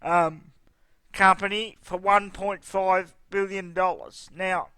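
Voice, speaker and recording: High, bright man, slow. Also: steady tone, clear, resonant tone, no echo. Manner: scornful, indignant asides. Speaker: video host. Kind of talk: opinionated commentary.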